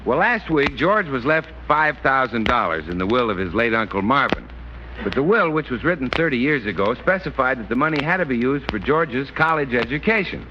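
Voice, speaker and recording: Lively American old-time radio announcer man. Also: medium pitch, brisk. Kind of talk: 1950s radio announcing and narration. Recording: muffled old radio broadcast, loud music.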